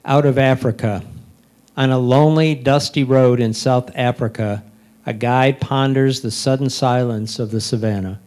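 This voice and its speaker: A man reading scripted, descriptive photo captions aloud, deliberately, phrase by phrase. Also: mid-range voice, fairly even intonation, a little mellow. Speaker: reader of photo captions at a photo club presentation.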